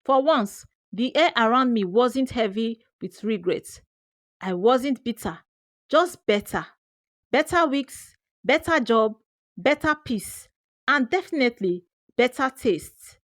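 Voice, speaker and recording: A Nigerian woman narrates a first-person folktale with theatrical expression. Her delivery is unhurried and very lively in pitch, in a dry, close recording. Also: no room sound. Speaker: storyteller.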